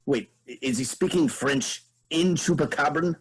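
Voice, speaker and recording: Man playing an imperious, husky moose monarch. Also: on the high side, normal speed. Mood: exasperated. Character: imperious, irritable moose queen, scheming and persuasive. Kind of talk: staged play reading.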